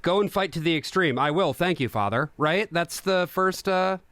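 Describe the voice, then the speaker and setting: A man's voice: on the high side, lively intonation, moderate pace, a bit bright, no room sound. A man in his thirties chatting casually as a podcast guest. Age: 30s. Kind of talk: casual chat.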